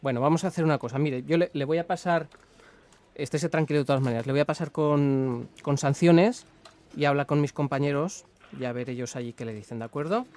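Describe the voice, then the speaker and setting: Man acting as smooth, hesitant, polite Spanish bureaucrat. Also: fairly high pitch, fairly quick, darkish tone, close to an everyday voice. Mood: placating. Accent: Spanish from Spain. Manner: hesitant, courteous, official-sounding. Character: polite, apologetic, bureaucratic male traffic authority official. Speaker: radio prank caller. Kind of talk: improvised prank phone call in a formal register.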